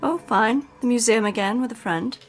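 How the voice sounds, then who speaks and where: A woman's voice: a little high, moderate pace, faint music behind. A woman playing a long-suffering, politely guarded society wife in a radio drama, with an arch, understated manner. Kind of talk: classic radio drama acting.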